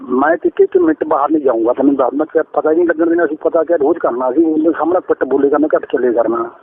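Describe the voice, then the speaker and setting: A man's voice: fairly high pitch, wildly swooping pitch, racing, gravelly. A middle-aged man with an Indian Punjabi accent talking privately on a noisy recorded phone call, rambling and repeating himself insistently. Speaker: caller, father-in-law. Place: phone line.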